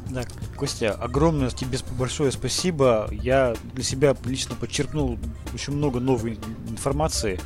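A man's voice: mid-range voice, normal speed, hardly any pauses. Rambling podcast host man, music behind. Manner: friendly, rambling questions with repeats. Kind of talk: casual podcast interview.

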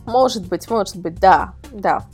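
A woman's voice: medium pitch, a little slow, a little mellow, soft background music. A woman speaking as an expert guest on a casual podcast, explaining things in a chatty way. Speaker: guest, magazine-style portal editor. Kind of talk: casual podcast interview answers.

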